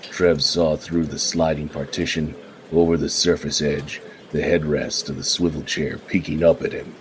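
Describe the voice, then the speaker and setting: A man narrating a noir radio play in a measured, even storytelling way. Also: very deep voice, slight background noise. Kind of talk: scripted narration.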